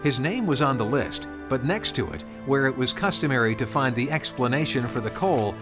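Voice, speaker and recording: Guy narrating a christmas storybook, mid-pitched voice, music playing clearly behind him. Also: expressive, a little fast.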